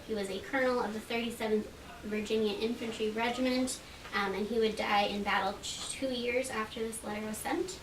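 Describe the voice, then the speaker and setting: A woman with a slightly high voice introduces material at a live event in an informal, halting way. She is heard at a distance from the microphone in an echoey room. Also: fairly even intonation. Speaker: presenter introducing readers at a library event. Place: library reading room at a public event. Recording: echoey room, distant mic, clear background noise.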